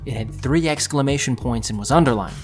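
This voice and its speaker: A man's voice: medium pitch, a little fast, close to an everyday voice. Determined young thief narrating over music , acted by a man. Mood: narrating, tense. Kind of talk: audio drama narration and dialogue. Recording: music under the voice.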